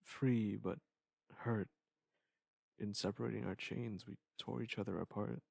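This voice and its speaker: A man acts out a wistful ex-lover with a low, gravelly voice, recorded close and dry. The delivery is halting and reflective, like an intimate audio drama confession left as a goodbye message. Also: fairly even intonation, a little mellow, no room sound, exaggerated voice. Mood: sad, reflective. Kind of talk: intimate scripted audio monologue.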